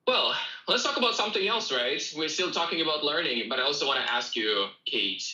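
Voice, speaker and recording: A man's voice: fairly high pitch, expressive, brisk, sharp tone, echoey room, poor audio. A young man from Russia with a Russian accent, co-hosting a casual chat and repeating his phrases as he talks to viewers. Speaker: co-host.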